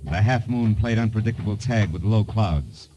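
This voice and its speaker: An actor playing a wry, cool American private detective, clipped and deadpan, in a 1950s noir radio drama. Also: male voice, fairly low pitch, moderate pace, slight background noise, close to an everyday voice. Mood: grim narration. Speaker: lead detective and narrator.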